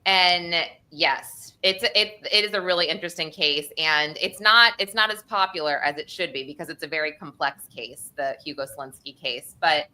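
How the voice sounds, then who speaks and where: Bright, low-pitched American woman co-host. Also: normal speed, few pauses. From Northeastern United States. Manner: chatty, informal. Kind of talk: casual true crime discussion.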